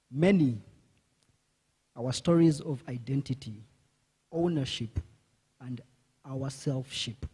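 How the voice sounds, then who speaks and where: A man poet reading his short poem aloud at a conference, in a slow, measured recitation. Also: medium pitch, dark tone, slightly rough. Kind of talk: poetry reading. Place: conference hall.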